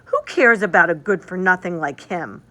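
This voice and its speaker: A woman's voice: on the low side, lively intonation, moderate pace, sharp tone. A woman voicing a bossy young boy in a storybook, scornful and demanding.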